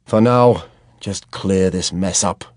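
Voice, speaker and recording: Man doing a furious young farmer, shouting, raised voice, dry close sound. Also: low-pitched, slow, no echo. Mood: gruff. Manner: shouting, roaring. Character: angry young farmer man, hot-tempered older brother.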